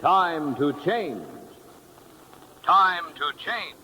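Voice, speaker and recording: Male American announcer, 1950s radio ad, higher pitch, very animated and emphatic, faintly noisy recording. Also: extremely slow. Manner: emphatic, repeated slogans. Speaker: commercial announcer.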